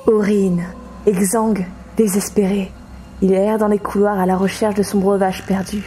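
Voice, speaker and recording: An actress playing an eager office employee who theatrically hosts horror stories in an audio comedy. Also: female voice, medium pitch, little pitch movement, moderate pace, mellow, faint music behind, natural voice. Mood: ominous, theatrical. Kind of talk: amateur audio comedy drama.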